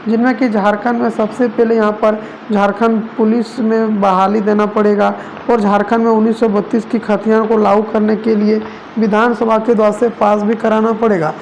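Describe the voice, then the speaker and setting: News narrator, mid-pitched and very even in tone, wordy reporting style, noisy home-grade sound. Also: normal speed. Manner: wordy, repetitive phrasing. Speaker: news presenter for a regional online channel. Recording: noticeable background noise.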